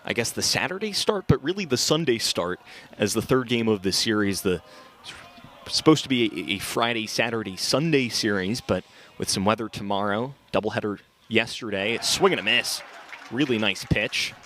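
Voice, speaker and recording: A man's voice: fairly low pitch, animated, moderate pace, fairly bright tone. A young man doing live play-by-play commentary of a baseball game. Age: young adult. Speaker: play-by-play sports announcer. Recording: outdoor ballpark with background noise. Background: outdoor ballpark ambience.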